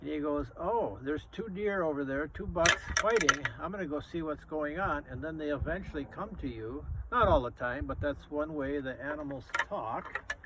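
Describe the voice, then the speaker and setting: A man in his seventies talking casually to children as a grandfatherly guest, explaining things simply and plainly with halting pauses. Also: a little high, very noisy. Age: 70s. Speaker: grandfather, guest reader and outdoorsman. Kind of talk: casual show-and-tell for children.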